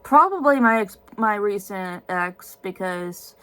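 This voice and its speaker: Woman in her twenties, tired, halting, full of ums and restarts, casual vlog Q&A. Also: mid-range voice, animated, a bit bright. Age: 20s. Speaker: YouTuber answering viewer questions.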